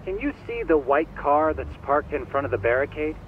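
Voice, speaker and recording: A man's voice: on the high side, very animated, normal speed, slight background noise. A man playing a polite, eager and confident tech expert with formal, precise speech in a video game dub. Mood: helpful, assured. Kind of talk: dramatic video game voice acting. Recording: dull band-limited sound, faint music.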